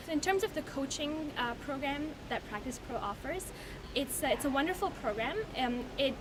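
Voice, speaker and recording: A woman from Pakistan speaks to a reception crowd in a friendly, chatty way. Her voice is fairly high. The recording is noisy, with steady background hiss. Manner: friendly, chatty, with many fillers. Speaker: law student speaker. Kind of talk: speech at a reception. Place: reception event.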